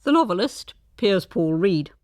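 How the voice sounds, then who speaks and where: A woman's voice: mid-range voice, big pitch swings, unhurried, a little mellow, dry recording, clean studio sound. Articulate woman presenting radio. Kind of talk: scripted narration and conversational interviewing.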